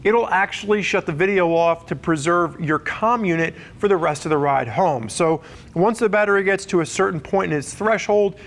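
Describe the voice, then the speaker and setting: A man's voice: sits high, normal speed, a bit bright. A man presenting a gear review in a conversational, explanatory way, talking through features as a semi-scripted walkthrough.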